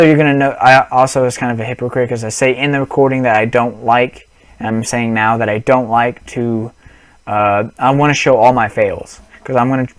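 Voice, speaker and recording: A man's voice: mid-range voice, no echo. A young man on a headset mic, rambling through a casual gaming vlog with lots of restarts and apologies. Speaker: gaming vlogger.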